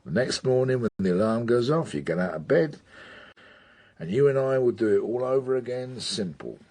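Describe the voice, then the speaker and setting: An actor playing a blunt, gruff British police detective mentor in a radio drama, sardonic and emphatic, with a husky voice and slangy speech. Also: male voice, medium pitch, lively intonation, moderate pace, a little mellow. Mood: coaxing. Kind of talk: naturalistic radio drama acting.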